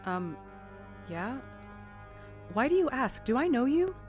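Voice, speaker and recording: A woman's voice: sits high, sing-song, takes it slowly, soft background music, heavily acted. A woman playing a prickly, reluctant private investigator in an audio drama, guarded, curt and sarcastic. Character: prickly, reluctant female private investigator who dislikes people.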